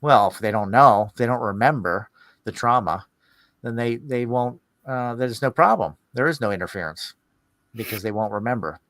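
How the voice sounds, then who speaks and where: A man hosting a podcast in casual, rambling talk, musing aloud in halting phrases. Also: medium pitch, animated, a bit bright, no echo. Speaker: podcast host.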